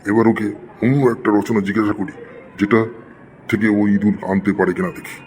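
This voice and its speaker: A man's voice: lowish voice, steady tone, a little fast, darkish tone, a little hiss or hum, lightly acted. A man voicing an exasperated, threatening schoolteacher in a comedy cartoon, with a scolding, melodramatic delivery. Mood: determined.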